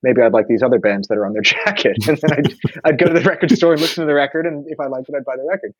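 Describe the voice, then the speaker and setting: A man's voice: medium pitch, rapid-fire, muffled recording, a crowd in the background. A man, talking thoughtfully in a casual conversation with many restarts. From New York. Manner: reflective, many restarts and fillers.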